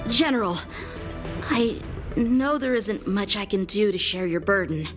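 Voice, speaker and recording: A woman's voice: medium pitch, animated, unhurried, lightly acted. A woman playing an earnest, determined young ringmaster and fighter in a video game, speaking respectfully. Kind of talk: scripted video game voice acting. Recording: music behind the voice.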